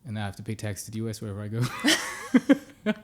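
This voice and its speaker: A man's voice: lowish voice, a little fast. A man in his thirties chatting casually as a podcast guest, in an informal, easygoing way with frequent laughter. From born in the United States, grew up in many countries. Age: 30s. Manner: laughs often, relaxed and informal. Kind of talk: casual chat.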